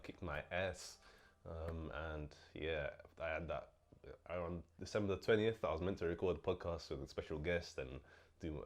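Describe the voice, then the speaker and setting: A young man hosting his own vlog, talking casually and a bit low on energy as he recovers from illness, with lots of fillers. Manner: relaxed, chatty, many fillers. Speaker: host. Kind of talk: casual vlog talk to camera.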